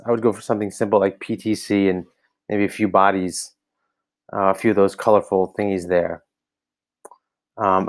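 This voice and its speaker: An American man giving casual spoken feedback on a website, hesitant and full of ums and restarts, recorded on a laptop camera indoors. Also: fairly low pitch. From United States. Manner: hesitant, many ums and restarts, thinking aloud. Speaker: website reviewer for coaches. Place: indoor room, seen on camera.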